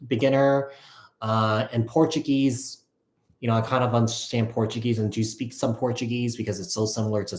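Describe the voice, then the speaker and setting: A man giving a casual live tarot reading, thinking aloud in a halting, repetitive way. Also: mid-range voice, darkish tone. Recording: echoey, roomy sound.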